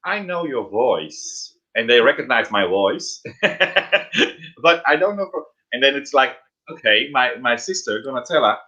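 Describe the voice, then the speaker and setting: A middle-aged man with a Dutch accent, a drag performer speaking English as a second language in a casual interview, halting and repeating words and laughing often. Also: mid-range voice, animated, sharp tone, rough recording. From Netherlands.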